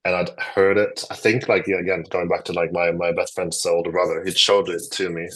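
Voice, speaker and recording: Deep-voiced Norwegian man, rambling. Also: level tone, a little fast, a bit bright. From Norway. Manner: rambling, many fillers and restarts. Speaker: guest guitarist. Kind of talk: casual chat.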